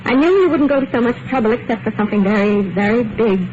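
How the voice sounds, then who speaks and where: Lady playing betrayed wife in old radio mystery, eerily calm n wistful, noisy muffled recording. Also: on the high side, natural voice. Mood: wounded, reproachful. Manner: measured, wistful, dramatic pauses. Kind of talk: old-time radio thriller acting.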